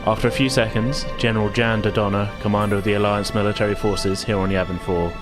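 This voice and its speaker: Lowish-voiced man narrating over music. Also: little pitch movement, fast talker. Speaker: game master. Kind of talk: narrating a tabletop game.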